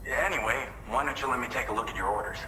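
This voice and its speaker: An actor playing a courteous, familiar male research lab contact in a video game cutscene, speaking in a measured way that softens into breathiness. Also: mid-range voice, background noise, close to an everyday voice. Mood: calm, appreciative. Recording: echoey, music behind.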